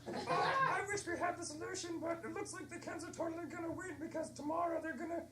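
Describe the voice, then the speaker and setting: A woman's voice: very high voice, fast, crowd noise, pitched above the performer's own voice. A woman voicing a cranky, oddball toy doll in a brash, cartoonish manner for improvised comedy. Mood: resigned. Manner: brash, put-on cartoonish delivery. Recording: echoey stage, distant mic, background noise.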